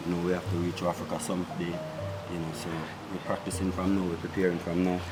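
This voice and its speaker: Low-voiced man, earnest father, clear music behind. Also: monotone, quick pace.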